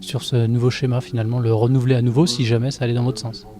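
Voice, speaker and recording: A man's voice: mid-range voice, level tone, fast, dark tone. A man asking questions as a local television interviewer.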